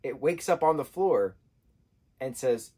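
A man in his thirties, husky-voiced, giving an animated casual book review from a sofa indoors. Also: medium pitch, measured pace. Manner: animated, gestures a lot, acts out quotes in changing voices. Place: indoor room, sofa with patterned throw.

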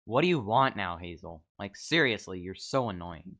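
A man's voice: deep voice, lively intonation, moderate pace, a bit bright. A man voicing a teenage schoolboy in an amateur game movie, laid-back and a bit cocky. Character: teen schoolboy Jacob, cocky yet guarded.

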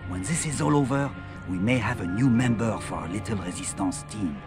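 An actor playing an earnest young French Resistance fighter, quietly businesslike and protective, in scripted game cutscene dialogue. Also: male voice, fairly low pitch, brisk, over music, lightly acted. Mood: earnest, purposeful. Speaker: resistance member briefing his sister.

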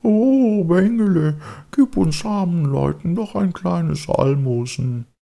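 A man's voice: high-pitched, expressive, slow, vocal fry, mellow, pitched above the performer's own voice, heavily acted. A man voicing a wheedling old crook of a fox in a storybook, begging in a whiny, howling tone. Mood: pleading.

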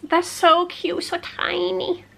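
A woman's voice: extremely high pitch, flat delivery, slow, creaky, pushed and bright. A woman, chatting casually as a vlogger at home, her voice congested from a cold, full of "like" fillers. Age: late 20s. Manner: chatty, frequent "like" fillers, playful asides. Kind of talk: casual chat. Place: small cluttered home room.

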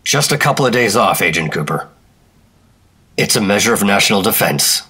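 A man's voice: on the low side, animated, moderate pace, sharp tone, slightly rough, exaggerated voice. An American man acting a terse, stubborn 1950s secret operative in a radio drama, with a dry, matter-of-fact delivery. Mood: firm, insistent.

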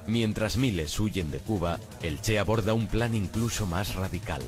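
A man narrating a history documentary in a measured, grave, scripted delivery. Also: on the low side. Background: music bed.

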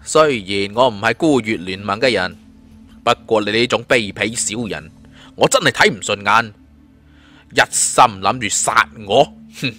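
A man's voice: fairly low pitch, unhurried, sharp tone, soft background music. A man voicing a cool, defiant young fighter hero in an audio story, taunting and scornful.

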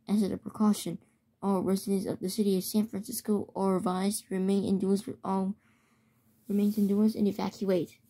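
Woman doing a homemade emergency alert announcement, mellow tone, mid pitch, trips over words and repeats them. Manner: halting reading with stumbles and repeats. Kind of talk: scripted mock emergency alert read aloud.

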